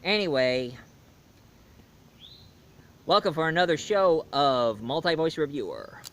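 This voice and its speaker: A man hosting a casual movie review show, rambling with lots of fillers and restarts and swinging between loud bursts and quiet asides. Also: fairly high pitch, expressive, a little slow.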